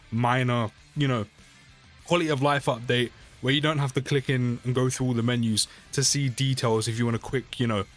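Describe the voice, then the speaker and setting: A man giving casual game update commentary in a chatty way, often repeating phrases for emphasis. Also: mid-range voice. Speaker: gaming video creator. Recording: music behind the voice. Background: music.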